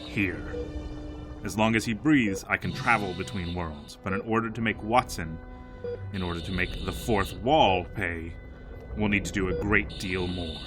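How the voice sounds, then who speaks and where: A man's voice: low voice, normal speed, over music, lightly acted. An actor playing a scheming, pompous fictional man plotting revenge in an audio drama, with a grandiose, wordy, theatrical delivery. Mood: sly, expository.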